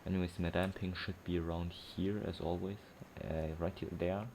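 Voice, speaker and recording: A man's voice: sits low, flat delivery, moderate pace, vocal fry, darkish tone, a little hiss or hum. A man giving casual let's play commentary, rambling, thinking aloud and correcting himself as he goes. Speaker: gaming streamer. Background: game music.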